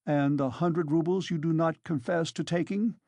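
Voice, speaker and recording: A reader voicing a pompous, reproachful middle-aged man who speaks smoothly and formally. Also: medium pitch, normal speed, mellow, no room sound, pitched above the performer's own voice, lightly acted.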